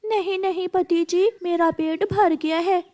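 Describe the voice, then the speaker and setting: A woman voicing a gentle, affectionate female parrot wife in a children's cartoon. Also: very high-pitched, steady tone, unhurried, voice pushed up. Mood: sweet, affectionate.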